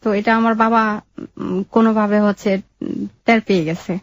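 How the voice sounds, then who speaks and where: A young woman with a Bangladeshi accent telling her life story in an interview, in a halting, colloquial way. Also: mid-range voice, fairly even intonation, muffled recording.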